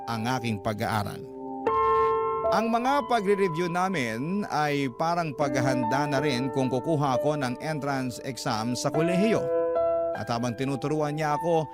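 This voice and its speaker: A man with a Filipino accent reading a first-person letter as scripted radio story narration. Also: medium pitch. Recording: loud music under the voice. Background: loud background music.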